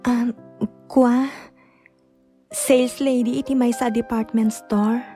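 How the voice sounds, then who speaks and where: An actress with a Filipino accent playing a meek, patient young wife in an Ilocano radio drama, speaking hesitantly and submissively. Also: female voice, a little high, flat delivery, slow, dark tone, faint music behind. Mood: timid.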